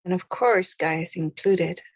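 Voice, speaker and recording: Slow-paced woman guiding a meditation. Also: lowish voice, slightly rough, no room sound, highs cut off. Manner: gentle, deliberate short phrases with long pauses. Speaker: meditation guide. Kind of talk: guided meditation, spoken slowly.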